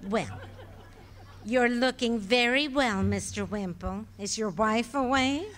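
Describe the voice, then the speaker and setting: A woman's voice: a little high, slow, a bit bright, a little hiss or hum. An actress playing a cheerful, teasing wife in a live radio play, laughing often as she needles her husband. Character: cheerful teasing wife, good-natured and knowing.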